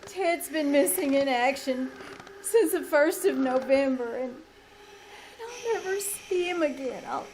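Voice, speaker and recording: A woman playing a fragile, despairing young soldier's wife with a halting, hesitant delivery in 1940s radio drama style. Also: high-pitched, lively intonation, darkish tone, rough recording. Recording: live stage recording, background noise.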